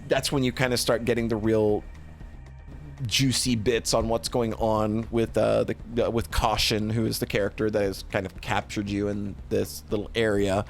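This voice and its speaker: Male streamer, medium pitch, casual halting commentary with repeated phrases, faint music bed. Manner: halting, repeats and restarts phrases while thinking aloud. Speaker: streamer reviewing games. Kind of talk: unscripted spoken review, casual commentary.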